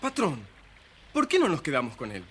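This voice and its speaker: An actor playing a simple, eager young miller's helper in a children's fairy tale. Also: male voice, on the high side, wildly swooping pitch, normal speed, a bit bright, slightly rough, exaggerated voice. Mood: eager, naive.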